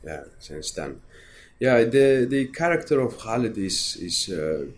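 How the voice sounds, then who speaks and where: A man from Syria whose first language is Kurdish, answering interview questions thoughtfully with many pauses and repeats. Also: medium pitch, sing-song, a little hiss or hum. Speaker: actor guest. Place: festival interview room.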